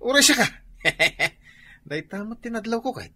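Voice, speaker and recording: Wildly animated husky Ilocano village husband. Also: male voice, high voice, a little slow, exaggerated voice. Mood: jovial. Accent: Ilocano, northern Philippines. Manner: exclamatory, sing-song, cajoling. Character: boisterous middle-aged village husband, birthday drinker fond of singing. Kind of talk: comedy radio drama acting.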